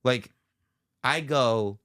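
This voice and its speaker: A man's voice: mid-range voice, extremely slow, fairly bright tone, no room sound, clean studio sound. A man co-hosting a podcast in casual banter, joking and mock-incredulous, with easy swearing. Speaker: podcast co-host.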